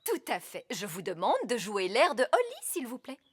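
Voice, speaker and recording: A woman's voice: on the high side, big pitch swings, moderate pace, fairly bright tone, slightly rough, no echo, clean studio sound, exaggerated voice. A woman playing a refined, fussy fairy queen and mother in a French cartoon, with formal, emphatic diction. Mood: polite, concerned.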